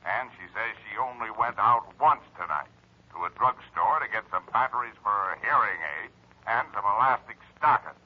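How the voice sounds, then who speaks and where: A man's voice: lowish voice, normal speed, natural voice. A man acting a stolid, tired police lieutenant with plain-spoken delivery in a 1940s radio drama. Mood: tired, businesslike. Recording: dull old radio recording.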